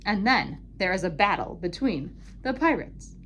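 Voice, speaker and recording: A woman's voice: medium pitch, very animated, moderate pace, a bit bright, a little hiss or hum. A woman telling a puppet-show story for children in a playful, informal way with simple explanations.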